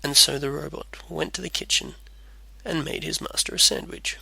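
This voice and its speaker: A man's voice: a little high, fairly even intonation, moderate pace, vocal fry, pushed and bright, breathy edge, no echo. A man reading a scripted storybook tale as its narrator.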